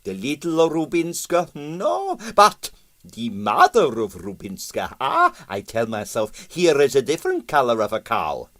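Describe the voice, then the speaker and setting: A man voicing an excitable, boastful Russian ballet impresario, theatrical in broken English with bits of French. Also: medium pitch, measured pace, dry recording, pitched above the performer's own voice. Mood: dramatic, scheming.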